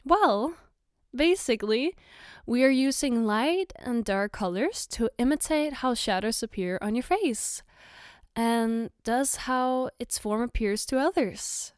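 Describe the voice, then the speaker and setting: A smooth, fairly high voice from a woman acting as a caring girlfriend in an ASMR roleplay. She takes her time, explaining things patiently with gentle reassurance and a playful, teasing touch. The sound is dry, with hardly any room to it. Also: lively intonation, slow, no room sound. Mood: soft, explaining. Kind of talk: ASMR roleplay, soft personal attention.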